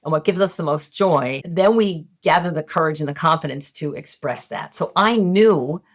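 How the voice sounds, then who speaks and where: A woman's voice: sits low, normal speed. A middle-aged woman, a hypnotist and medium, talking candidly and casually as a podcast guest. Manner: casual and candid, occasional swearing. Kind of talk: podcast interview, conversational teaching.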